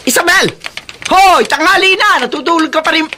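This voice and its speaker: A woman's voice: extremely high pitch, moderate pace, background noise. A Filipino woman playing a cruel, abusive aunt who scolds and threatens in a radio drama. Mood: shouting, angry. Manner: scolding, name-calling, threatening. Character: cruel, abusive aunt berating her young housemaid niece.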